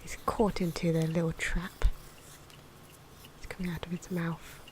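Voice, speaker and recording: A soft-spoken woman giving ASMR drawing commentary, often slipping into a breathy whisper, with hesitant, unhurried phrasing. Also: lowish voice, level tone. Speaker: ASMR video creator narrating own drawing. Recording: noisy, pencil scratching and ambient sound. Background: pencil and pen noises, outdoor wind.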